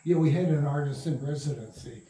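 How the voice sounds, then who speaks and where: Older man, interview guest, mellow tone, halting recollections, casual, echoey room, faint background noise. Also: on the high side. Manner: halting, repeats words while recalling. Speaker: interview guest, radio drama producer. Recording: roomy, distant mic, faint noise.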